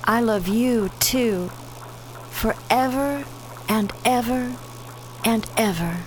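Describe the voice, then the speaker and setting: A woman's voice: fairly high pitch, slow pace, a little hiss or hum. A woman playing a romantic, adoring young sweetheart in a radio play, gushing and sentimental. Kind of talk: amateur radio theater acting.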